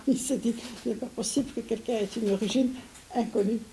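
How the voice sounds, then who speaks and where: Older woman from Syria, mellow and hesitant, faint hiss under the recording. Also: on the high side, steady tone, a little fast. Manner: hesitant, with frequent restarts and soft laughs. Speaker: interviewee. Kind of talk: recorded interview.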